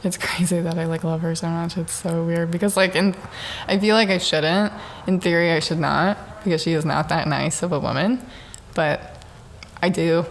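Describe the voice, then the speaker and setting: A young woman with a fairly low voice talks on her own show. She rambles and mocks herself, jumping from one thought to the next. She is at home in a dark apartment. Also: fairly even intonation, normal speed, a little hiss or hum. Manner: rambling, self-mocking, jumps between thoughts. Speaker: podcast host. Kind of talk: rambling solo self-reflection monologue.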